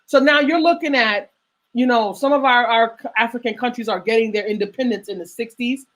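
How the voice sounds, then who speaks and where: A woman's voice: a little high, brisk, a bit bright. A middle-aged woman hosting a radio talk show, speaking in an emphatic, lecturing way that repeats phrases for weight. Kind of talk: passionate discussion.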